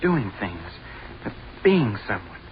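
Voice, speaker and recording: An actor playing an earnest, timid, bookish young college student in an old radio drama, halting, nervous and eager to please. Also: male voice, on the low side, sing-song. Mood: intense. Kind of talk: 1950s American radio drama acting. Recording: muffled, hissy old radio recording.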